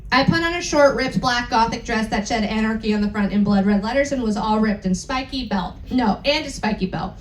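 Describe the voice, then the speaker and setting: A woman performing a comedic dramatic reading on stage, with exaggerated emphasis, deliberate mispronunciations and joking asides. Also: fairly high pitch. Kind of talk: comedic dramatic reading of bad fan fiction. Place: indoor event stage on a cruise. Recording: roomy live venue, faint background noise.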